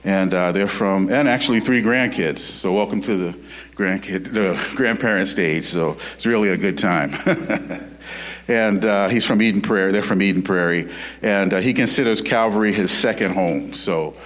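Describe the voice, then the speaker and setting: An American man with a fairly low voice speaks as a church host. His delivery is informal and unpolished, feeling its way through an introduction. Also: moderate pace, fairly bright tone. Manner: hesitant, unpolished.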